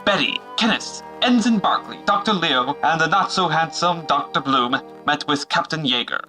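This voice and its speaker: A man narrates an adventure story from a script in a very sharp, forceful voice. It sits a little high and is delivered dramatically over quiet music. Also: expressive, moderate pace. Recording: music behind the voice.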